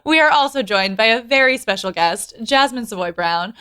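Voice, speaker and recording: A woman's voice: on the high side, expressive, normal speed, bright, forceful tone, clean tone, no room sound, clean studio sound. A woman co-hosting a podcast in casual, playful banter with a giggly delivery. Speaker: podcast co-host.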